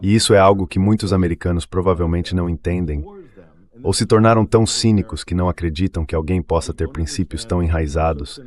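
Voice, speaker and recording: Brazilian male voice-over, very low register, interview guest delivering analytical commentary. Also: quick pace, darkish tone.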